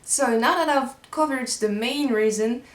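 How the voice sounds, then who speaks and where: A young woman from Switzerland speaks English with a French-speaking Swiss accent, her first language being French. Her voice sits fairly high, and she talks earnestly and reassuringly, pausing on ums as she explains. The sound has a noticeable echo, as if recorded in a bare room. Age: young adult. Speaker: video creator. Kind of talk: casual explanatory vlog.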